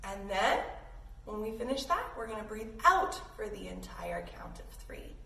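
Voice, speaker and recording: A woman's voice: medium pitch, sing-song, moderate pace, vocal fry, bright, forceful tone. A woman leading a playful yoga lesson for children, encouraging and speaking to them directly as friends. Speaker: kids yoga instructor. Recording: roomy echo, distant mic, faint noise.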